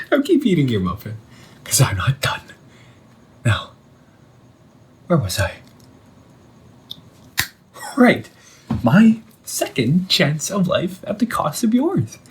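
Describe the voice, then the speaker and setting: Undead older brother, played by a man, audio drama: vengeful, wounded, mockingly loving, theatrical; unhurried delivery with big pitch swings. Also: husky, heavily acted. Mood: threatening, mocking. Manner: theatrical, taunting, with drawn-out pauses and whispered words. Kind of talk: scripted audio roleplay monologue addressed to the listener.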